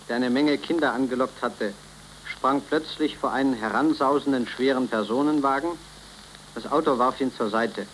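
An actor playing an impassive radio news announcer of the 1930s, reading bulletins in a clipped, formal manner. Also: male voice, medium pitch, fairly bright tone, close to an everyday voice. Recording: old 1930s radio recording, faint crackle.

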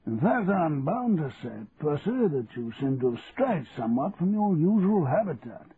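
A man voicing a stately English king in an audiobook, formal, measured and drily reproving. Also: on the high side, sing-song, pitched above the performer's own voice. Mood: dryly reproving. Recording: muffled narrow-band audio with hiss.

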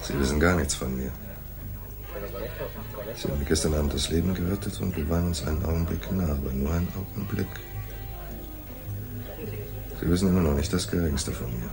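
An actor playing a laconic, world-weary hardboiled private detective in a radio play, speaking in a terse, deadpan manner. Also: male voice, extremely low pitch, fast talker, vocal fry, over music. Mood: guarded. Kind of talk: German radio play acting with noir first-person narration.

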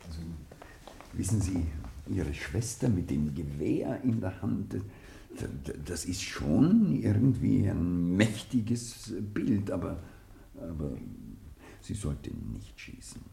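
An actor playing a bashful, flustered policeman in a radio drama, stammering, excitable and apologetic. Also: sits low, takes it slowly, vocal fry, slight background noise, heavily acted. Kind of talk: German radio drama acting.

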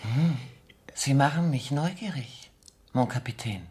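A woman's voice: rumbling low, a little slow, warm tone. An actress playing a cool, seductive female spy under interrogation in a radio drama, poised, teasing and defiant. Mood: teasing, coy. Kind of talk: German radio drama acting.

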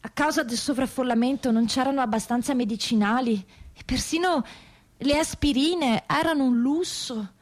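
A woman performing a dramatic radio theatre monologue as a testimony, with measured, grave and deliberate phrasing. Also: high-pitched. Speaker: actress reading prisoners' testimonies.

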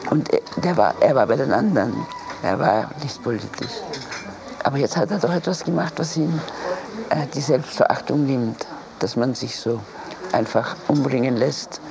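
A woman telling a story conversationally, in a halting way, rephrasing as she goes. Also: extremely low pitch, animated, creaky, background noise.

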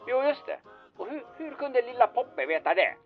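A man's voice: very high-pitched, big pitch swings, phone-quality sound, faint music behind, full character voice. A man playing a bossy, scheming small-time fixer in an audio drama, insistent, sardonic and conspiratorial. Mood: bossy, urgent.

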